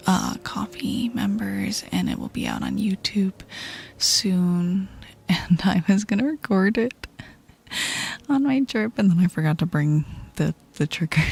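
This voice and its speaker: A woman with a deep voice streaming ASMR, soft-spoken and whispering, giggly and playful as she chats. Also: sing-song, measured pace, vocal fry, husky.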